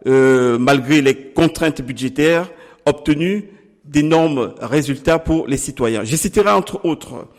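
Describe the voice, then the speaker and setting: Measured middle-aged Cameroonian-born man. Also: fairly high pitch, big-room sound. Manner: deliberate, formal, with pauses and some restarts. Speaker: political candidate. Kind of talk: measured campaign interview answers.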